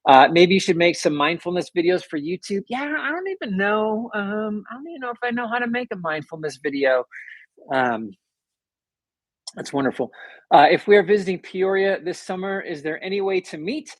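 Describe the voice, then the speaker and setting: A man with a soothing, somewhat high-pitched voice talks casually on a livestream. His delivery is conversational and rambling, with a self-deprecating touch. The sound is dry, with no room echo. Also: expressive, normal speed. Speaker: livestream host, author. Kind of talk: casual livestream chat, reading and answering viewer comments.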